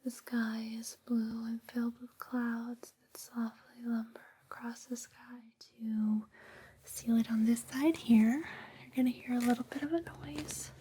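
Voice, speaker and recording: A woman performing a whispered ASMR roleplay, gentle, soothing and unhurried, close on a binaural microphone. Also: on the high side, steady tone, rough recording.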